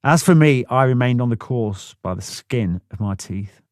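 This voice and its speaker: A man's voice: mid-range voice, lively intonation, normal speed, a little mellow, no room sound, clean studio sound. A man narrating a first-person memoir audiobook in a blunt, plain-spoken way, swearing freely.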